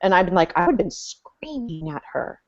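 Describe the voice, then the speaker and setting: Woman with a lowish voice rambling on a video call, muffled audio. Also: sing-song, moderate pace. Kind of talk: casual chat over video call.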